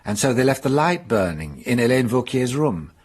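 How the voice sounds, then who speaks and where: A shrewd police detective in a radio mystery. He explains his reasoning with measured amusement in a husky voice. His pitch rises and falls in a lively way. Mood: explaining. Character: shrewd French police detective, Inspector Hanaud. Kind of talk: radio drama acting.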